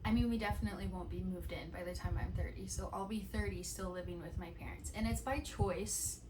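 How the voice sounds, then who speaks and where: A woman in her late twenties talking softly and thoughtfully at home, often restarting her sentences. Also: on the low side, a little fast, background noise. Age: 20s. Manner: soft-spoken, thoughtful, with many restarts and "like". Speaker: vlogger. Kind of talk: casual reflective chat to camera.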